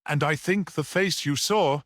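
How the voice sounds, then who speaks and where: A man voicing a calm, wry village priest in an audiobook story, grave and deliberate in manner. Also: on the high side, dry recording, pitched above the performer's own voice. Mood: equable.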